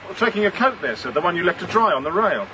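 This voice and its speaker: An actor playing a deferential, hurried ship's steward in a radio drama. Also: male voice, high-pitched, sing-song. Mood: nervous, deferential. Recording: muffled, noisy boat ambience.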